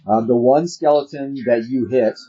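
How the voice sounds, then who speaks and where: A man's voice: medium pitch, moderate pace, dark tone, dull audio, a little hiss or hum. A man running a tabletop role-playing game, narrating the action and explaining rules conversationally. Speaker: game master.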